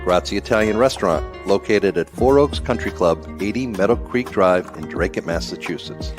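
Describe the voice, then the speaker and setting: A man's voice: low voice, moderate pace, over music. A man, a business owner, reading his part of a scripted two-person radio commercial.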